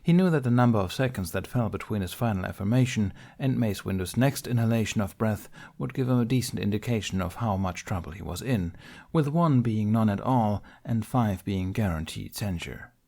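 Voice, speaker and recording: A man's voice: on the low side, brisk, vocal fry, dark tone. A man reading scripted fiction as an audiobook narrator.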